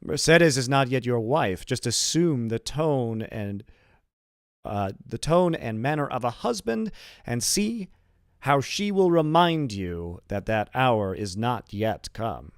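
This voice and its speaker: A man's voice: medium pitch, lively intonation, slow, clear, resonant tone, no room sound, clean studio sound. A man voicing a smiling, meddlesome male neighbor in a storybook, teasing with emphatic, mocking delivery.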